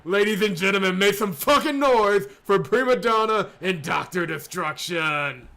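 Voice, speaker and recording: A man's voice: sits high, expressive, normal speed, gravelly, pushed and bright, voice pushed up, heavily acted. A man voicing a hyped, showy, foul-mouthed fight announcer in a video game, bellowing to the arena.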